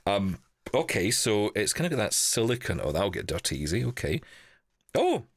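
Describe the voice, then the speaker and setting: A man's voice: lowish voice, sing-song, normal speed, breathy edge, no echo, studio quality. A man hosting a podcast in casual, chatty style, thinking aloud with wry asides. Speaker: podcast host. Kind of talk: casual chat, live unboxing of a gadget.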